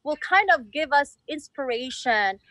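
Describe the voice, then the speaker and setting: A woman guest with a Filipino accent, her voice high and bright. She talks casually but earnestly, peppering her thoughts with small checks to the listener. The sound is dry, with little room on it. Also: lively intonation, measured pace, dry recording, low-quality recording. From Philippines. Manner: earnest, many "you know"s. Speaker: guest, creative designer. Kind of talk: casual interview talk.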